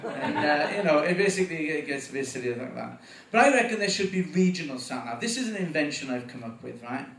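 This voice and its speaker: Welsh guy doing stand-up on a club stage, bit high voice, roomy echo, mic kinda far off. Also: fairly quick, hardly any pauses. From Wales. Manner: animated, voice jumps up in pitch at punchlines. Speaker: stand-up comedian. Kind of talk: stand-up comedy set. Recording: echoey room, voice from afar.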